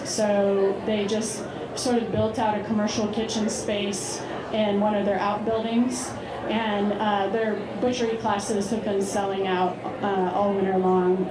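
Female conference presenter, conversational and explanatory, recorded in an echoey meeting hall with noticeable room noise. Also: mid-range voice. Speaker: conference speaker, farmer. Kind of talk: informal slide presentation to an audience. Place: large indoor meeting hall with seated audience. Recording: roomy, noisy hall recording. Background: room noise and ambience.

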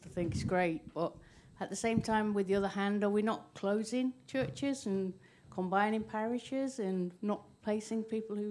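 A woman's voice: mid-range voice, a little mellow, a little hiss or hum. Hesitant woman asking from the floor. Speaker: audience member asking a question. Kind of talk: question from the floor.

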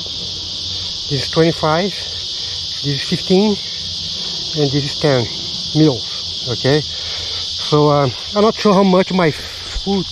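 Slow low-voiced woman outdoors. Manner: thinking aloud, counting and measuring. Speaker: bushcraft vlogger. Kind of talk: casual talk to camera while working. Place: outdoors in the bush. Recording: outdoor recording with loud insect noise. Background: loud crickets and insects.

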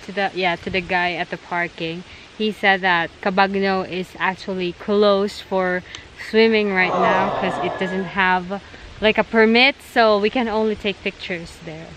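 A young woman speaking slowly in easy travel chat, dropping short remarks. Her voice sits at an ordinary pitch. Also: fairly even intonation, rough recording. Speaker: travel companion. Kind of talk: casual chat.

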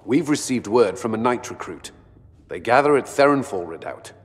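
An actor playing a serious, blunt military commander in a video game, speaking directly and earnestly. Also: male voice, medium pitch, lively intonation, moderate pace, long reverb tail, close to an everyday voice. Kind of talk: dramatic video game dialogue.

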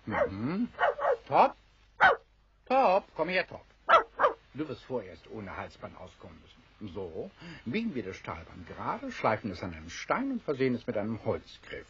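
A raspy, brooding castaway leader. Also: male voice, on the low side, huge pitch swings, normal speed, dull audio, full character voice. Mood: calm, resourceful. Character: weakened, commanding, thoughtful castaway leader, middle-aged man.

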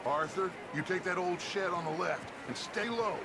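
A man's voice: sits high, normal speed, heavy background noise. An actor playing an authoritative, fatherly older leader of an outlaw gang in a western video game. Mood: hushed, commanding. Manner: authoritative, reassuring, giving orders. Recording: game audio under loud music.

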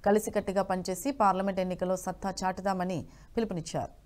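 A young woman news anchor reading headlines in a formal, brisk studio delivery. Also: medium pitch, level tone.

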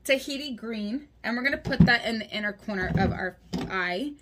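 A woman's voice: a little high, lively intonation, moderate pace, fairly bright tone. A woman in her thirties, a little congested, chatting and giggling casually as she does a makeup tutorial at home, close to the camera. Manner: chatty, giggly, talks while applying makeup. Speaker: beauty vlogger. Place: small room at home, indoors. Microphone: camera close in front.

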